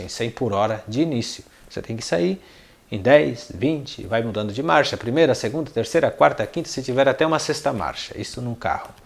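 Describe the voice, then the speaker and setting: A man's voice: medium pitch, vocal fry, darkish tone. A man from Paraguay with a Paraguayan accent, a non-native speaker giving an informal lesson to viewers in a didactic way, repeating words and posing questions. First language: Spanish and Guarani. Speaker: language teacher and video channel host.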